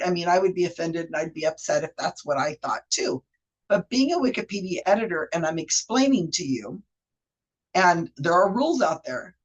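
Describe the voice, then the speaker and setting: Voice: medium pitch, husky, low-quality recording. A Wikipedia editor with a cold giving a chatty, rambling walkthrough of pages shared on screen, often correcting themselves mid-sentence. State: has a cold. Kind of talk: casual screen-share explainer.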